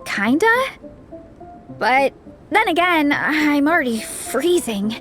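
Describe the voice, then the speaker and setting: A woman's voice: high-pitched, very animated, slow pace, a bit bright, soft background music, full character voice. A woman voicing a perky, encouraging young woman who leads a group, in an anime-style video game dub. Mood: weary, chatty. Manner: peppy, organizing.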